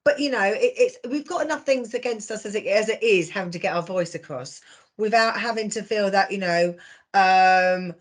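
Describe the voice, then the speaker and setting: A woman in her fifties speaks on a panel discussion. She often stumbles and restarts her words. Her pitch sits in a middle range. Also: moderate pace. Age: 50s. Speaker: roundtable panelist.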